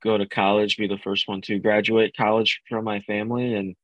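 Man, interview guest, bilingual, flat monotone, dry close sound, earnest. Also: fairly low pitch, dry recording, highs cut off. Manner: earnest, halting, with repeated restarts. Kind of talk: casual interview.

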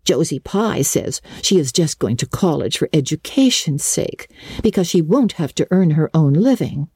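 A woman's voice: low voice, expressive, normal speed, a little mellow, slightly rough, no room sound, full character voice. A woman playing an earnest, imaginative, chatty schoolgirl in her early teens, full of opinions and dramatic flourishes, in a storybook reading. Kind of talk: dramatic audiobook reading of classic novel.

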